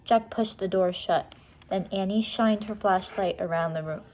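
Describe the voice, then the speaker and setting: A woman's voice: mid-range voice, moderate pace, darkish tone. Woman reading a children's story aloud. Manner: explains words simply to young listeners. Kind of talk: expressive storybook read-aloud with casual explanations.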